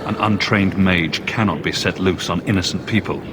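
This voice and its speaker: An actor playing a blunt, demanding adventurer in a video game, speaking in clipped, commanding phrases. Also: male voice, deep voice, normal speed, music in the background, natural voice. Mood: firm. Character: curt, demanding male adventurer hero. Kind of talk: video game protagonist acting.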